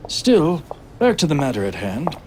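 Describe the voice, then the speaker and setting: An actor playing a genial, protective king in an audio drama, courteous and gently teasing. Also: fairly high pitch, huge pitch swings, moderate pace. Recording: noticeable background noise.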